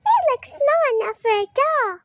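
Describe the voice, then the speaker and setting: A woman's voice: very high-pitched, very animated, moderate pace, fairly bright tone, dry recording, clean studio sound, pitched above the performer's own voice, heavily acted. A woman voicing a dainty little baby girl pig in a storybook, cutesy and childlike. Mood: delighted.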